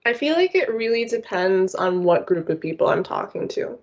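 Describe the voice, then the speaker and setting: A woman's voice: medium pitch, very animated, normal speed, lo-fi sound. A young woman from the United States, a podcast guest, talking thoughtfully with many "like" and "you know" hedges. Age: young adult. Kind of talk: casual podcast discussion.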